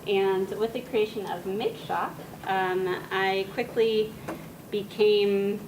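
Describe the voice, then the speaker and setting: Soft-spoken woman lecturing from afar. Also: medium pitch. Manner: soft-spoken, frequent "um" pauses. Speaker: guest speaker. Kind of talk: academic talk to an audience. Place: lecture room. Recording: distant mic with noisy room.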